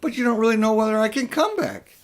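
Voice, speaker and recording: A man's voice: high-pitched, expressive, fast, voice pushed up. A man voicing a brave, indignant young schoolboy in a children's audiobook, talking bluntly and defiantly. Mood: defiant, worried. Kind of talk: children's audiobook character reading.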